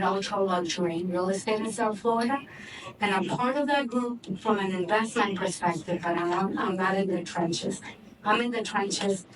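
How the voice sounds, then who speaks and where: Woman, 60s, Cuban Spanish accent, conversational restarts, distant mic, noisy room. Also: mid-range voice. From Cuban-born, raised in Miami. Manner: conversational, frequent restarts and fillers. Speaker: board member and investor, guest. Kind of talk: fireside chat on stage. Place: office event space, live audience. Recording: distant mic with background noise.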